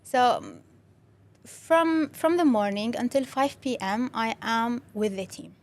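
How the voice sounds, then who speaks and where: A woman's voice: on the high side, animated, a little slow, darkish tone. A young woman from Syria with a Syrian Arabic accent, a native Arabic speaker, talking as an interview guest in a friendly way with many fillers and restarts. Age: young adult. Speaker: interview guest, creative director. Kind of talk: casual interview talk.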